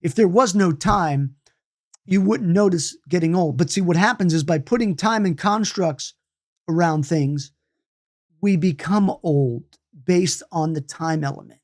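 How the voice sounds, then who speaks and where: Late-fifties man teaching energy healing, unhurried. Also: high-pitched. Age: 50s. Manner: loose, repetitive, many restarts and asides. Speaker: meditation and healing instructor. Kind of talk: spoken lesson on energy healing.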